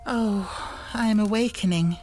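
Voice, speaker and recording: A woman's voice: mid-range voice, normal speed, mellow, pitched above the performer's own voice, lightly acted. A woman voicing a poor, dreamy young girl full of wonder, in rapt, fervent exclamations for a storybook. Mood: drowsy, mournful. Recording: music bed under the voice. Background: music.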